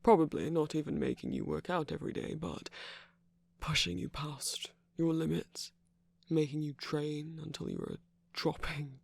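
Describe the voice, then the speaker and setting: A man playing an affectionate, concerned villain in an intimate ASMR roleplay, speaking softly and deliberately with a husky, breathy, creaky voice. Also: fairly high pitch, huge pitch swings, no room sound, full character voice. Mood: tender, probing. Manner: soft, deliberate, tender coaxing with whispered phrase endings. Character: affectionate, concerned male villain, slim and sickly.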